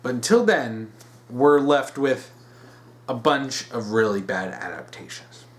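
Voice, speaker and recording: A man's voice: mid-range voice, expressive, a little slow. Man giving emphatic off-the-cuff commentary. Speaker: host of a weekly topic video series. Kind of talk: unscripted solo opinion commentary.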